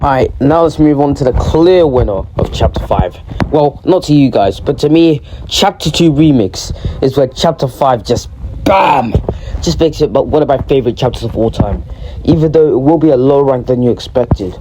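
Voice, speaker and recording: A young man who is ill and sounds deeper than usual, talking casually and excitedly about a video game, often correcting himself. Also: normal speed, noisy background. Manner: rambling, excitable, self-correcting. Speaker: gaming video creator. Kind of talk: casual gaming commentary.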